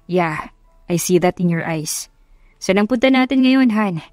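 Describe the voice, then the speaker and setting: A woman's voice: fairly low pitch, expressive, measured pace, a little mellow, no room sound, clean studio sound, soft background music. A woman voicing a doting, teasing young husband in a romance audiobook, tender and mixing English phrases into Tagalog. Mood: teasing, affectionate.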